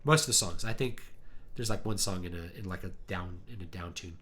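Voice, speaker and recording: A man hosting a casual chat, talking in a rambling, animated way and acting out quotes, his deep voice worn because he is sick. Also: fairly quick. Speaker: livestream host. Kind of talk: casual chat about music.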